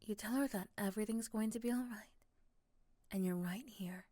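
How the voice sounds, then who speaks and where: A woman's voice: mid-range voice, moderate pace, dark tone, no room sound. A woman reading scripted second-person narration for an ASMR roleplay story.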